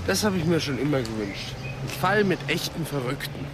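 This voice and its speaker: An actor playing a flippant, sceptical police assistant in a German radio crime drama, with a teasing, sarcastic manner. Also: male voice, a little high, sing-song, brisk, background noise. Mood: mocking.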